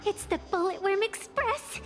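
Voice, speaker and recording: A woman's voice: very high-pitched, lively intonation, normal speed. An actress voicing a cheeky, imperious female trickster in a video game, breezy, showy and teasing. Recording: long reverb, music underneath.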